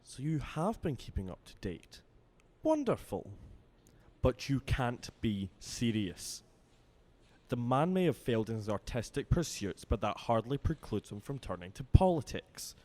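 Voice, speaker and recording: A man's voice: medium pitch, sing-song, measured pace, mellow. A man playing a wary, businesslike vampire councillor in an audio drama, brusque, sceptical and exasperated.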